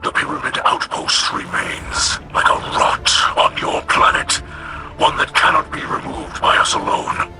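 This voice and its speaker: An actor playing a grave, resolute alien warrior leader in a video game, with a breathy, rasping growl and formal, measured delivery. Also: male voice, natural voice, low-quality recording. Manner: formal, weighty, measured declarations. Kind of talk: video game mission dialogue. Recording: loud music over the voice.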